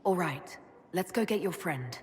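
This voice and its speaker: A woman playing a calm, supportive elven leader in a video game, with a reassuring manner. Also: on the low side, unhurried, breathy edge, heavily acted. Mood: calm, attentive. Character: female elf leader, calm, supportive, wry. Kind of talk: video game cutscene voice acting.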